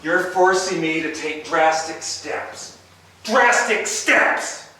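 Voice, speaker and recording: An actor in an amateur stage rehearsal, playing an angry, threatening, jealous soulmate. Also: male voice, on the high side, slow pace, bright, forceful tone. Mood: stern, warning. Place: echoey indoor rehearsal space. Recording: noisy echoey room, far from microphone.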